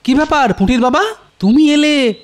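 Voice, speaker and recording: A man's voice: very high voice, normal speed. A man playing a clever, curious village trickster in a comic cartoon, folksy and inquisitive. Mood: puzzled, suspicious.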